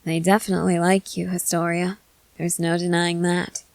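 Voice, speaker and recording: A woman voicing a protective, controlling century-old female vampire in measured, formal phrases for an ASMR roleplay. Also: fairly low pitch, little pitch movement, creaky, a little mellow, dry recording. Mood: assured. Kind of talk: scripted ASMR audio roleplay.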